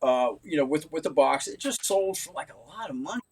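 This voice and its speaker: A man hosting a collectors' chat in an excitable, teasing way, with loud bursts. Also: a little high, fairly quick, a little hiss or hum. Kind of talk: casual panel chat.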